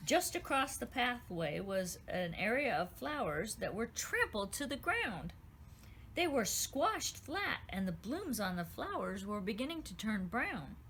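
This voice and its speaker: A woman reads her own children's book aloud, gentle and expressive. Her voice sits at an everyday middle pitch, unhurried storytelling. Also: a little hiss or hum. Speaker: author reading her book.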